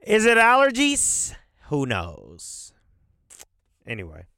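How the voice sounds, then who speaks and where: A man's voice: sits high, wildly swooping pitch, takes it slowly, sharp tone, dry recording, clean studio sound. A man hosting a casual solo podcast, rambling loosely and cursing often, with a stuffy nose. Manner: loose, profane, rambling with fillers. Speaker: podcast host.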